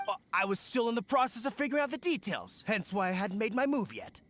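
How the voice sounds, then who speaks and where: A man's voice: sits high, expressive, quick pace, background noise. A man voicing a dim but eager young apprentice thief in a video game, stammering and folksy. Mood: naive scheming. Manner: hesitant, stammering, folksy slangy speech. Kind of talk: English video game voice acting. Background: game music.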